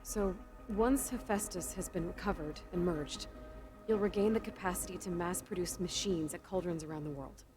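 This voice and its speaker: A woman's voice: on the low side, normal speed, close to an everyday voice. A young woman voicing a determined young female hunter in a video game, talking in a direct, inquisitive way. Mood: steady. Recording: game audio with music.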